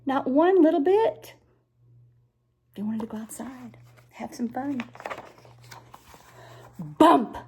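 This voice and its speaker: A woman's voice: sits high, darkish tone, slightly rough. An older woman from the United States reading a storybook aloud to young children, expressively, with chatty asides.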